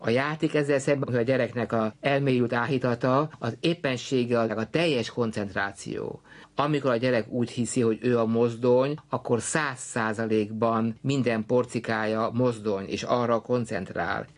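A man's voice: mid-range voice, fairly even intonation. A man speaking as a researcher in thoughtful, articulate, reflective philosophical talk on an archive recording. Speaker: social researcher.

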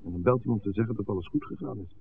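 An actor playing an anxious, insistent garage worker in a 1960s radio play. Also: male voice, fairly low pitch, rapid-fire, creaky. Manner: uneasy, insistent. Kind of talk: 1960s Dutch radio drama acting. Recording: narrowband vintage radio, faint noise.

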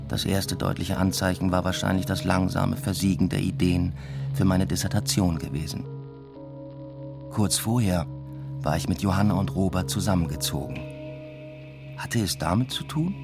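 An actor playing a weary, introspective academic who tells his own story in measured radio drama narration. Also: low voice, vocal fry, music in the background. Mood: reflective, weary. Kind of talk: scripted first-person radio drama narration.

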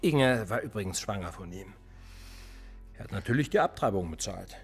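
An actor playing a cold, probing police interrogator in a radio drama, needling and calm. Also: male voice, fairly low pitch, moderate pace, soft background music. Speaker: police investigator.

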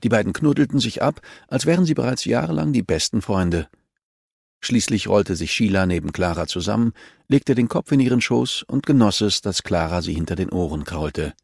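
A man narrates a work of fiction in a lowish voice. It is scripted audiobook reading. The sound is very dry, with no room around it. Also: animated, normal speed, gravelly, a little mellow, no echo.

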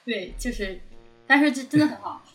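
A woman's voice: a little high, expressive, a little fast, fairly bright tone, soft background music. A woman from an audio drama team chatting casually with emphatic, repeated phrases. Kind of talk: casual group chat about an audio drama.

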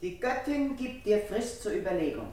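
Woman playing proud priestess princess, solemn poetic delivery, mid pitch, echoey room, classic radio drama. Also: big pitch swings, normal speed, mellow, close to an everyday voice. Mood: firm defiance. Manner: solemn, declamatory verse delivery. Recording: roomy, echoing studio sound.

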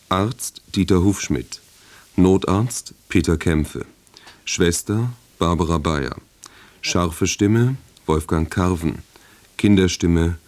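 A male radio announcer with a deep voice reads prepared broadcast copy. His delivery is measured and formal. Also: a little mellow. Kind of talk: scripted broadcast announcement, credits and preview.

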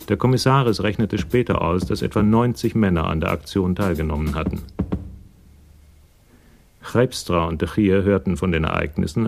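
An actor as a sober narrator of a German radio crime drama, measured and matter-of-fact. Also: male voice, low voice, gravelly, a little mellow.

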